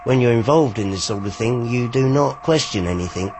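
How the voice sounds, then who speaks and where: A man's voice: mid-range voice, expressive, a little fast, darkish tone, faint music behind. An older British man, a former radio operative, recollecting in an interview.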